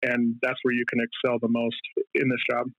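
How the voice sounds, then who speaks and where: A man's voice: mid-range voice, monotone, brisk, dry recording, highs cut off. A middle-aged man giving casual, explanatory answers as an interview guest. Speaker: interview guest, air traffic controller.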